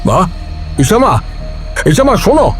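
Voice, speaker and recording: A man's voice: high voice, sing-song, slow, faint music behind, full character voice. A man playing a grave, protective father in a horror audio drama, speaking in a dramatic, earnest way. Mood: alarmed.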